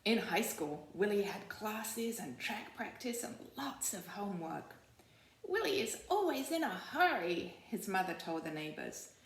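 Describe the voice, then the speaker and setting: A woman school librarian reading aloud to children on a library video, teacherly and expressive, often checking in with simple questions. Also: mid-range voice, unhurried. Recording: roomy, echoing sound.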